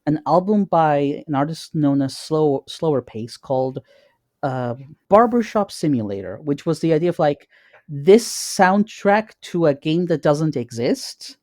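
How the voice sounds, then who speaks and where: A man co-hosting a podcast, chatting informally and haltingly, often repeating words as he goes. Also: on the high side, animated, a little mellow, dry recording, studio quality. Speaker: podcast co-host. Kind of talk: casual chat.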